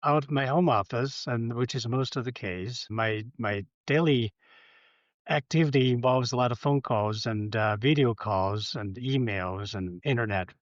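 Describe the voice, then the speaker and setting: A man from China, an entrepreneur, answering interview questions from his home office in halting speech with fillers and repeated words. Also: medium pitch, no echo, muffled recording. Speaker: guest, entrepreneur.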